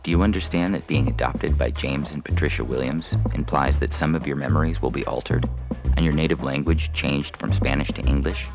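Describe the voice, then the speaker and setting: Audio fiction official, played by a man: extremely low, creaky voice, brisk and formal, music underneath. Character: brisk businesslike male official running an adoption procedure.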